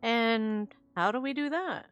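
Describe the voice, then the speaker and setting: A woman's voice: on the high side, unhurried, clean tone, highs cut off, soft background music. A woman giving casual playthrough commentary as a game streamer.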